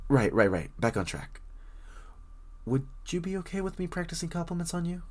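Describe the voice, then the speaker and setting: A man's voice: fairly high pitch, very animated, normal speed, darkish tone. A man playing a very shy, bookish young stranger in an intimate roleplay audio drama, stammering, rambling and apologising nervously as he addresses the listener. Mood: flustered.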